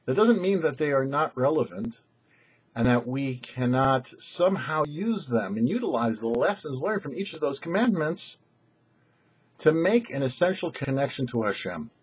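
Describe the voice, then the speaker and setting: A man giving a short prepared religious teaching as a rabbi, in measured, emphatic phrases with pauses. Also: mid-range voice, very animated, breathy edge, low-quality recording. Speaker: rabbi, show presenter.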